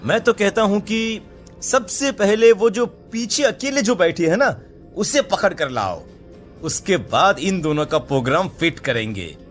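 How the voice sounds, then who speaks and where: A man's voice: high-pitched, expressive, unhurried, faint music behind. A man voicing a brazen, villainous bus crewman in a horror cartoon, with a casual manner. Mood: gleeful menace.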